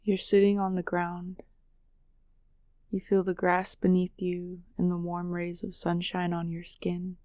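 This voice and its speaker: A woman narrating a guided meditation in a soothing, measured way with long pauses. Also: fairly low pitch, monotone, mellow, dry recording, studio quality.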